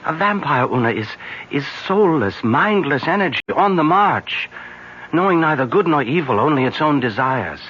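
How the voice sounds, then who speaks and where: A man playing a grave, urgent villager in old-time radio drama, with an ominous, deliberate, theatrical delivery. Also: fairly high pitch, animated, close to an everyday voice. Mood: ominous, insistent. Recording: muffled, noisy old radio recording.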